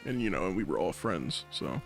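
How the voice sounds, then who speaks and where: A man recounting personal experiences casually, in halting, emphatic slang. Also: sits low, very animated, faint music behind. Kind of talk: casual chat, personal recollection.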